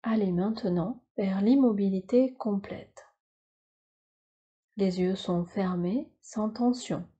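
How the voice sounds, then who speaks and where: Slow, soft-edged woman guiding relaxation. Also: medium pitch, breathy edge, lo-fi sound. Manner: calm, soothing, instructional, with measured phrases. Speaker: yoga nidra teacher. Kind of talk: guided relaxation meditation.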